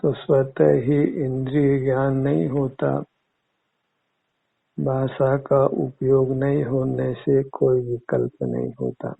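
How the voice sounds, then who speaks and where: A man teaching guided meditation in measured, formal, instructive words. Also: medium pitch, animated, vocal fry, breathy edge. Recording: muffled phone-like narrow-band audio.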